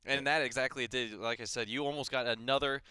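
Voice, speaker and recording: Bright, joking young male co-caster. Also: medium pitch, fairly even intonation, smooth, dry recording. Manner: joking, fast, friendly banter. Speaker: esports co-caster. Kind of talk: live esports commentary.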